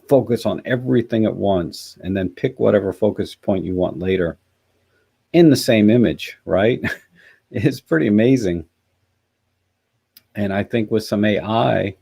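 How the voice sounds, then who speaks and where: A man hosting a casual live chat, musing and halting, with a hoarse, scratchy voice. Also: on the low side, warm tone. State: hoarse after a night out. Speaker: livestream host. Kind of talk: casual live chat, reading out and answering comments.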